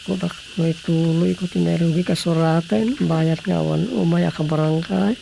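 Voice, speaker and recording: A comic village clerk, drowsy and creaky, with a slightly high voice and an Ilocano accent. He mutters to himself between interjections, in a radio comedy with faint background noise. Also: steady tone, moderate pace, warm tone, low-quality recording. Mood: sleepy, muttering.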